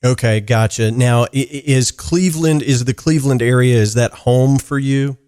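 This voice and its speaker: A man's voice: mid-range voice, fairly even intonation, normal speed, darkish tone, smooth. Laid-back man hosting a podcast. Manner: easygoing, lots of fillers and restarts. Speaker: podcast host. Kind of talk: casual interview chat.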